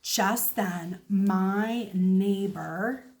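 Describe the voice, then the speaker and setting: A woman reading a children's story aloud as a teacher, expressive and deliberate. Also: medium pitch. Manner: animated, deliberate.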